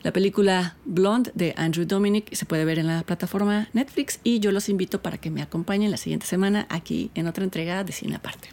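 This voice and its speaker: Woman film critic, lowish voice, reads a thoughtful review at a steady pace. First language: Spanish. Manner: measured, analytical, occasional fillers. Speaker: film critic and segment host. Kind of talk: scripted film review commentary.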